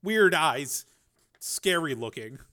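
Battered kid, played by a male narrator: pitched up, nervous, polite, halting storybook character. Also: animated, pushed and bright, exaggerated voice. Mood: bitter, hesitant. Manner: hesitant, stumbling over words. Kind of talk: audiobook character voice.

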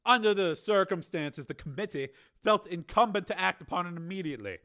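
A man voicing a cold, imperious male senator who speaks in formal, clipped, threatening tones, pitched above his own voice. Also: lively intonation, fairly quick, sharp tone, full character voice. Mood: coldly formal.

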